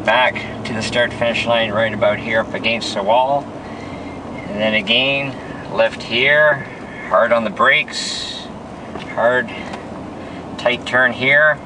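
A man in his forties talks a lap from behind the wheel in clipped, terse instructions. He speaks slowly and evenly, sitting back from the microphone. Clear road and engine noise runs underneath. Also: mid-range voice, steady tone. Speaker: race car driver. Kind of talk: lap walkthrough commentary. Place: inside a car on a racetrack. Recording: distant mic with road noise.

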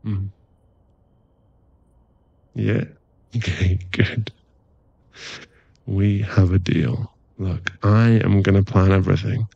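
A man as a husky, slow-talking werewolf boyfriend. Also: sits low, level tone, no echo, muffled recording, full character voice. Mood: affectionate. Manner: soft, coaxing, halting delivery. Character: affectionate, teasing, apologetic werewolf boyfriend. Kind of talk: intimate ASMR boyfriend roleplay. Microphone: close microphone.

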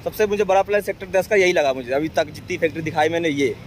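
A young man with a high voice talks casually to his vlog viewers. He is chatty and repeats himself, with clear street and vehicle noise behind him. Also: very fast. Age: young adult. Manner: chatty, repetitive, addressing viewers as guys. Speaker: vlog host. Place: outdoors along industrial roadsides. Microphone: camera held at arm's length. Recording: noisy street with vehicle traffic.

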